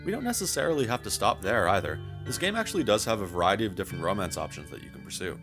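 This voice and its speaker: Very deep-voiced, brisk male reviewer over music. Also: big pitch swings. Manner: wry, wordy, casually profane. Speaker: video game reviewer. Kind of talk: scripted narration for a game review.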